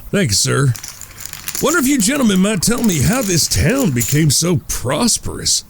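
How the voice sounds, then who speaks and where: A man's voice: high voice, big pitch swings, normal speed, creaky, a little hiss or hum, full character voice. A man playing a polite, wry Old West traveler in a Western audio drama, with a folksy, courteous way of talking. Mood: curious, polite.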